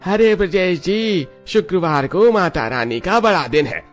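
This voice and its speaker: A man voicing men in a cartoon story, with lively, expressive delivery. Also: high voice, measured pace, soft background music. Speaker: voice actor voicing several men. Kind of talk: cartoon dialogue.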